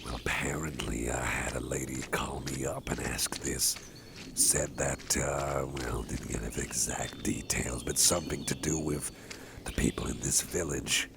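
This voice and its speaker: A man voices a soft-hearted, protective boss in an ASMR roleplay, whispering close and slow. His voice sits extremely low with a gravelly fry. Outdoor farm ambience and animal sounds come through clearly behind him. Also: steady tone, noisy background, exaggerated voice. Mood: gentle. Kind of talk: ASMR personal attention roleplay.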